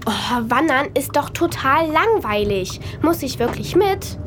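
A high, lively-pitched young girl in a children's radio play. She is sulky and pleading, with a dramatic whine. Loud ambient noise sits behind the voice. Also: unhurried. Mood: whiny, pleading.

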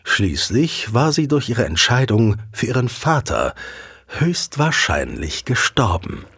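A man's voice: medium pitch, big pitch swings, slow pace, fairly bright tone, slightly rough, no echo, studio quality. A man narrating a scripted audio drama as a storyteller.

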